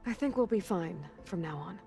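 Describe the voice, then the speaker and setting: Low-voiced young woman warrior. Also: animated, normal speed, gravelly, mellow, faint music behind. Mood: reassuring, matter-of-fact. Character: young woman warrior, guarded but caring, plainspoken. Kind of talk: video game cutscene acting.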